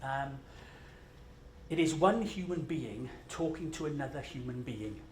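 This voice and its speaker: A man's voice: mid-range voice, animated, measured pace, a little mellow. A Scottish man giving a conversational, self-deprecating presentation at a conference, jet-lagged and full of fillers. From Scotland. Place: large conference room. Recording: echoey conference room with faint noise.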